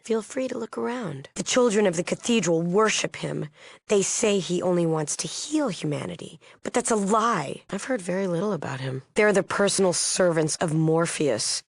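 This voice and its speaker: Low female voice, solemn idealistic rebel leader, earnest, guarded, video game dialogue, dry studio sound. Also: lively intonation, moderate pace, vocal fry, no echo. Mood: grave, informative. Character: solemn, idealistic woman leading a post-apocalyptic peace movement.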